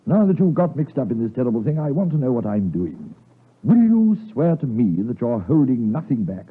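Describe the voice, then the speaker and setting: A worried, blunt British lawyer in a vintage radio play. His husky voice rises and falls widely as he speaks with formal emphasis. It comes through narrow and muffled, as on an old broadcast. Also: mid-range voice, very animated, fairly quick. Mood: urgent warning. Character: stern, loyal gentleman lawyer, worried and blunt. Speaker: radio drama actor. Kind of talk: 1950s radio drama acting.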